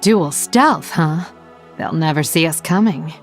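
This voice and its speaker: A proud female blood elf rogue from a fantasy video game. Her voice sits fairly low, with a dry, haughty, cutting delivery and sardonic teasing, set over background music. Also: animated, measured pace. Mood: cool. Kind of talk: video game hero voice lines.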